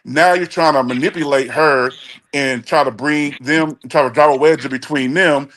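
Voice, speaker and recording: A man's voice: a little high, steady tone, normal speed, a bit bright. Blunt, emphatic man in his forties. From Chicago, USA. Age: 40s. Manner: blunt and emphatic, stumbles and restarts. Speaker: guest caller on a talk show. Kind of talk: casual opinion chat.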